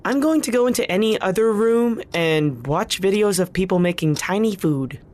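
A woman acting in an audio drama as a weary, dry-humored minder with a deadpan, exasperated manner. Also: medium pitch, moderate pace, natural voice. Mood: dry exasperation.

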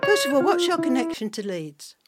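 An older woman hosting a podcast and interviewing a guest in a measured way. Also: high-pitched, very animated, loud music behind the voice. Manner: measured, reads an introduction. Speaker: podcast host.

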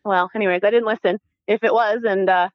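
Animated Canadian woman, slightly muffled sound. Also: mid-range voice, fast, no echo. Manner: animated, informal, acts out quoted dialogue. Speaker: interview guest, former Olympic athlete. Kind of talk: casual conversational storytelling.

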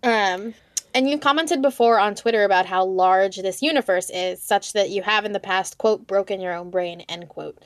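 A woman with a mid-pitched voice speaks as a podcast interviewer. Her delivery is conversational, and the recording is dry and free of room echo. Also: normal speed.

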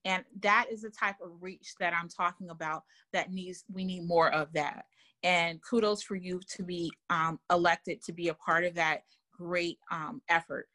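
A woman's voice: lowish voice, steady tone, moderate pace, bright, forceful tone. Earnest woman guest who repeats words. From Germany. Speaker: author and guest. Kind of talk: podcast interview chat.

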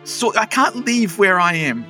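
A man's voice: sits high, big pitch swings, unhurried, pushed and bright, faint music behind. A man playing a flustered, rambling town crier who keeps oversharing about his wife leaving. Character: flustered middle-aged male town crier, abandoned husband. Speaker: game master voicing a townsperson. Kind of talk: improvised tabletop roleplay.

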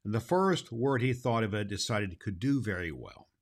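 A man narrating a children's storybook in an expressive, scripted reading style. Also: lowish voice, normal speed.

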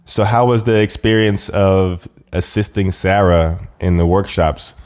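A man's voice: deep voice, steady tone, unhurried, a little mellow. A man hosting a podcast, asking questions in a conversational interviewing style.

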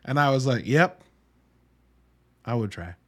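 A man's voice: mid-range voice, lively intonation, unhurried, fairly bright tone. A man hosting a casual solo movie review podcast, talking in an informal, slangy, emphatic way with some swearing. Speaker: podcast host.

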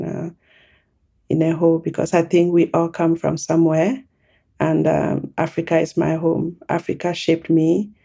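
A woman from Cameroon talks softly and reflectively, pausing on fillers. Her voice is dark and gravelly, with a flat, even pitch. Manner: soft-spoken, reflective, with hesitant fillers. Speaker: podcast guest. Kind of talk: reflective conversation.

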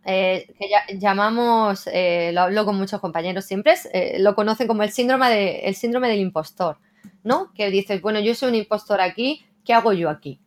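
A woman translator speaks in a relaxed interview, thinking aloud about her work. She keeps checking in with the listener with little tag questions. Her voice sits at an ordinary middle pitch. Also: moderate pace. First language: Spanish. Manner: reflective, frequent "¿no?" tags. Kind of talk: casual interview conversation.

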